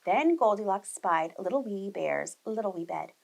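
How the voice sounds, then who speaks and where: A woman reading a storybook aloud to young children expressively, pausing to ask and explain things. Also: mid-range voice, lively intonation, gravelly. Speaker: children's storyteller, preschool teacher. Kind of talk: animated storybook read-aloud.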